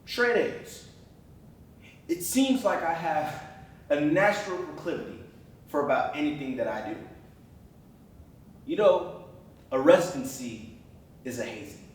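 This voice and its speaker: Guy acting proud resentful young surgeon, dramatic monologue, slowish, echoey and far from mic. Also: a little high, slight background noise. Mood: boastful pride. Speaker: acting student. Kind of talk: theatrical acted monologue. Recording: very echoey room, distant microphone.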